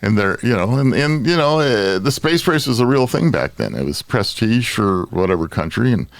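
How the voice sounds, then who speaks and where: A man's voice: fairly low pitch, very animated, normal speed, vocal fry, no room sound. An older man with an American accent, hosting a casual podcast panel chat. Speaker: podcast host.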